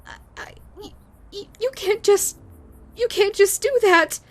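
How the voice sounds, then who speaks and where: A woman voicing a scarred, grieving female yokai ghost who hates herself, talking to the listener in a halting, stammering way. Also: very high-pitched. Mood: flustered. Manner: halting, stammering, repeats words. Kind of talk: audio roleplay to the listener.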